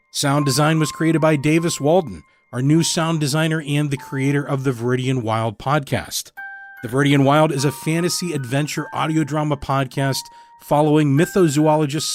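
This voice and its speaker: A man who produces a story podcast, reading scripted credits with casual asides. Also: medium pitch. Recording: faint music behind the voice.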